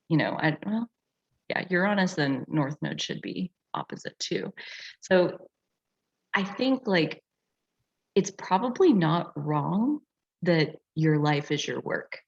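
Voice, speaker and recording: Husky low-voiced woman, evenly pitched. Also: expressive, takes it slowly. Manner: reflective, frequent "like" filler. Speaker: astrology teacher and session facilitator. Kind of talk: casual explanatory talk in online group session. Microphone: video call. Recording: dull, lo-fi video call audio.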